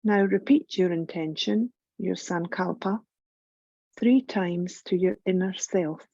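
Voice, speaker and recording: Very slow, mellow woman guiding meditation. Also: fairly low pitch, no echo, muffled recording. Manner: gentle, measured, soothing, with long pauses. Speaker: yoga nidra meditation guide. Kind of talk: guided relaxation meditation.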